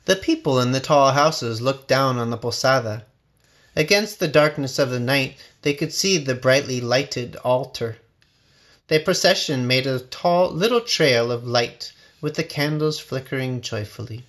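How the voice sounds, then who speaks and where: A man narrating a children's storybook with expressive, measured phrasing. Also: mid-range voice. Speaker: storybook reader.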